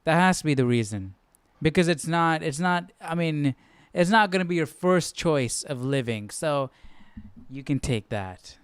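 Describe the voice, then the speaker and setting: A man muses casually and rambles with lots of fillers, thinking aloud between readings. His voice is smooth and clear. The sound is dry and close, with no room echo. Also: a little high, animated, unhurried. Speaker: Bible study livestream host. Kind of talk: casual musing commentary.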